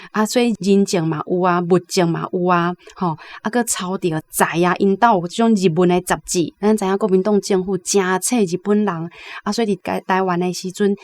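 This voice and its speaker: A mid-pitched woman speaks with a Taiwanese accent. She comments on literature conversationally, explaining things with frequent tag particles. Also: a little mellow.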